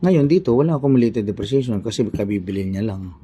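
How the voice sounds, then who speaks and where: A man's voice: mid-range voice, animated, fast, dark tone, slightly rough. A man with a Filipino accent teaching an informal accounting lesson, thinking aloud step by step and repeating himself as he works through an example.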